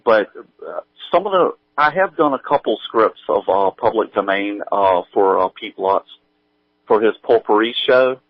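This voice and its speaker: A man chatting casually as an interview guest, thinking aloud in a halting, rambling way. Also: lowish voice, expressive, no echo, narrow, tinny audio. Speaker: guest, audio drama writer and producer.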